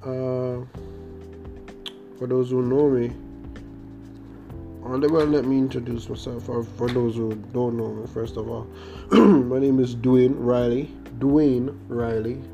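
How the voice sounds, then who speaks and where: A man's voice: medium pitch, little pitch movement, slow pace, creaky, pauses often, dark tone, muffled recording. A young Jamaican man with a Jamaican accent, hosting his own podcast and telling a story in a rambling, hesitant way. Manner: rambling, hesitant, many fillers and restarts. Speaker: podcast host. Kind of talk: casual storytelling monologue. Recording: guitar music playing behind.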